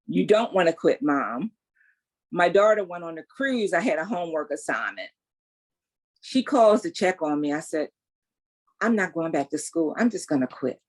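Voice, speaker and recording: Woman, 60s, lively and emphatic storyteller, interview guest. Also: on the low side, slightly rough. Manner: animated, emphatic, faith-filled storytelling. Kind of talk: casual interview, testimony.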